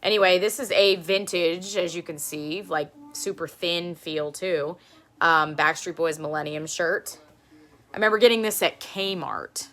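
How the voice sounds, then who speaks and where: Chatty woman with a bright, low voice. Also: a little slow. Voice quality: low speaking voice. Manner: chatty, rambling, laughs often. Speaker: live stream host. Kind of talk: casual live-stream show and tell.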